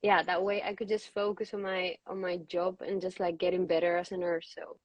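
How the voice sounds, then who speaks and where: A woman from the Canary Islands speaking English, a nurse chatting in a relaxed way in an interview. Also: lowish voice, fairly quick, dry recording. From Canary Islands, Spain. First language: Spanish. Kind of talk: casual interview.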